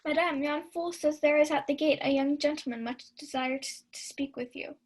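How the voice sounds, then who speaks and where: A high-voiced, sharp-tongued maid in a classic radio drama, acted by a young woman. She chides in theatrical Elizabethan style, with a servant's busy authority. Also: steady tone, normal speed, lightly acted. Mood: reporting. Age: young adult. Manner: theatrical, chiding. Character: Maria, scolding sharp-tongued lady's maid. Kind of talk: 1940s radio Shakespeare acting.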